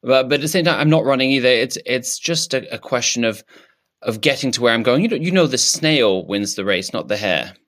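A man's voice: medium pitch, expressive, fairly quick, fairly bright tone, lightly acted. A man improvising a shy male politician who has no opinions, talking in hesitant, stammering restarts. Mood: evasive. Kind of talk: improvised comedy character.

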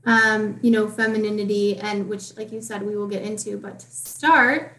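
A woman's voice: mid-range voice, steady tone, moderate pace, a bit bright. A woman hosting a casual podcast, friendly and heavy on fillers like "um". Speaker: podcast host. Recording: echoey room, far from the mic.

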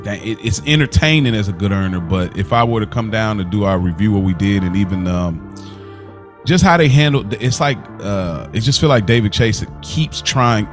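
An American man hosting a podcast, chatting casually as he reviews television. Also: on the low side. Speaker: podcast host.

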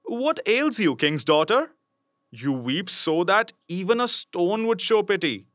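A persistent talking frog from a read-aloud fairy tale, as a male narrator plays him. He bargains in a coaxing, polite way with a bright, forward tone. The sound is close and dry. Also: high voice, very animated, a little slow, no room sound, voice pushed up, full character voice.

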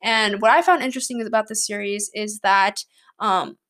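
A woman's voice: mid-range voice, normal speed, fairly bright tone. A young woman hosting her own podcast, chatting casually and rambling with many likes and ums. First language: English. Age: young adult, student. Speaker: podcast host. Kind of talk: casual solo podcast chat.

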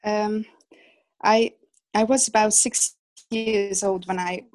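A woman in her thirties from Hungary, a Hungarian speaker talking in English, is a guest illustrator chatting in an interview, with many fillers and soft laughs. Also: mid-range voice, fairly even intonation, slow pace, dry recording. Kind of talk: casual interview chat.

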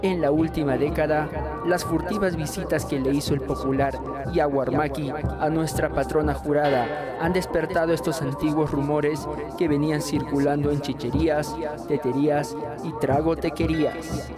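A man's voice: on the high side, steady tone, moderate pace. A man hosting a scripted radio segment in a playful, theatrical manner. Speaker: segment host. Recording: loud music behind the voice.

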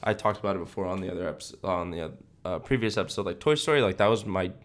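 A man's voice: low-pitched, fast talker. A man in his twenties chatting casually as a podcast co-host, rambling and repeating himself with lots of filler words. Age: 20s. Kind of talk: casual chat about films.

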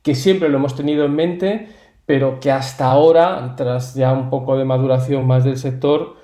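Mellow man in an echoey room. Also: medium pitch, steady tone, moderate pace. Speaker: interview guest, company founder. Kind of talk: explanatory interview talk. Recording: roomy, echoey sound.

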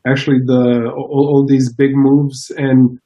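A mellow, dark-voiced man from Croatia speaks as a guest in a relaxed interview. He restarts his sentences often and fills the gaps with ums and uhs. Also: medium pitch, a little slow, dull audio. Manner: hesitant, many restarts and fillers. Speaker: scientist guest. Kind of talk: casual interview.

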